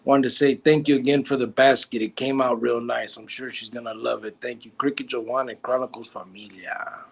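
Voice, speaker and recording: A man's voice: medium pitch, flat delivery, fairly quick, creaky, husky. A man, hosting a casual livestream, joking and teasing while reading out viewer comments. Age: 40s. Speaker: livestream host.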